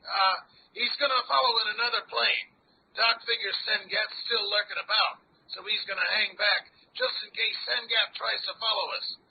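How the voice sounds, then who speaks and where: An actor playing a hoarse, slangy tough adventurer in an old-style radio drama. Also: male voice, high voice, fairly even intonation, normal speed, full character voice. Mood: brisk, slangy. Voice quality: hoarse, gravelly. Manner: rough slangy speech. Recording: tinny, noisy old-time radio sound.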